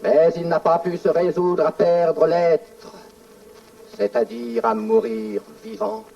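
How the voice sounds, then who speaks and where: A performer playing an intense poetic declaimer in a 1940s French radio work, theatrical, deliberate and incantatory. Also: mid-range voice, fairly even intonation, vocal fry, mellow. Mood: bitter, grave. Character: intense poetic declaimer railing at mankind's small idea of the world. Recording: dull 1940s archive radio recording.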